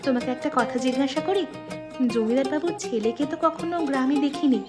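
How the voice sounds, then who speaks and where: A woman voicing a shy, anxious, affectionate young village bride in an animated moral story. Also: high-pitched, fast, music in the background, close to an everyday voice.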